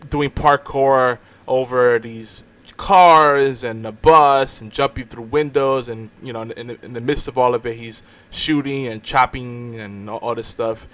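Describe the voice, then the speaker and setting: A man's voice: medium pitch, steady tone, a little slow, a bit bright. A man hosting a podcast in casual chat, talking like an enthusiastic fan. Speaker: podcast host.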